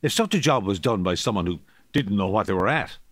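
A man's voice: fairly low pitch, expressive, fairly quick, a bit bright, dry recording, clean studio sound. An actor playing a plain-spoken Irish local man in a radio drama, emphatic and colloquial in his opinions. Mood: firm, insistent. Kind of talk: radio drama acting, conversational.

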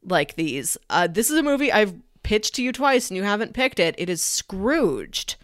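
A woman's voice: mid-range voice, very animated, moderate pace. Woman, podcast co-host. Enthusiastic, opinionated, conversational, with a dry, echo-free sound. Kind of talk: casual film review chat.

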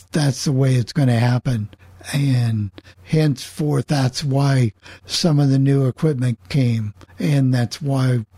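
Slow-talking man with a soft, husky voice. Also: mid-range voice, no echo. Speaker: host of a group coffee club chat on an internet radio station. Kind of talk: casual group discussion.

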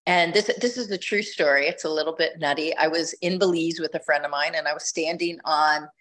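A woman's voice: sits low, fairly even intonation, brisk, a bit bright. A middle-aged woman guest telling her story in a reflective, conversational way, often restarting phrases as she thinks. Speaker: podcast guest, retreat leader.

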